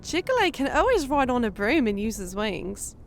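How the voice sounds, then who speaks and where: A woman voicing a grumpy, sharp-tongued horned woman in a fantasy audio story, with a blunt manner. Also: on the high side, very animated, moderate pace, soft background music. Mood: matter-of-fact, encouraging. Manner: blunt, exasperated.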